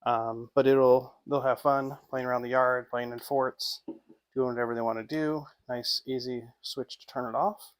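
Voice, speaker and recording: A man's voice: medium pitch, fairly even intonation, moderate pace. A man giving a casual hands-on unboxing review in an informal, off-the-cuff way. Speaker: product reviewer.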